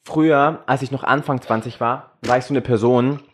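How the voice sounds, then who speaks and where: A man's voice: medium pitch, moderate pace, slight background noise. A young man from Germany chatting casually and candidly, crude and frank, mixing English slang into his German. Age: young adult. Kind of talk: casual, candid group chat.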